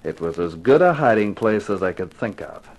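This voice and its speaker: An actor playing a tough, cool-headed private detective in a 1950s radio drama, terse and wry. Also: male voice, sits low, very animated, normal speed, creaky, noisy background, exaggerated voice. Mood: matter-of-fact.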